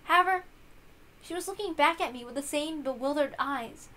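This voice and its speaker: A woman's voice: sits high, normal speed, bright, forceful tone. A woman voicing a timid, earnest newborn witch with a hesitant, polite manner in a visual novel reading. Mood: wondering, earnest.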